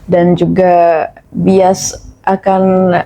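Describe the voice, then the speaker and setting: A young woman in a casual podcast chat as a guest who teaches her language, speaking thoughtfully and pausing to pick her words. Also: on the low side, completely flat delivery, vocal fry, dark tone, poor audio. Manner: thoughtful, measured, with frequent pauses and restarts.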